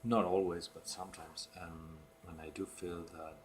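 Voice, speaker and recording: Man, Basque Spanish accent, Basque native speaker, very low flat voice, hesitant, noise behind. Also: normal speed. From the Basque Country, Spain. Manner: hesitant, frequent "um". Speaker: conversation partner.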